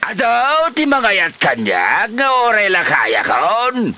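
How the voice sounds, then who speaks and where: A man's voice: high-pitched, wildly swooping pitch, slow pace, pushed and bright, exaggerated voice. A man acting a stubborn, quarrelsome older husband and father, blustery and indignant, in an Ilocano comedy radio drama.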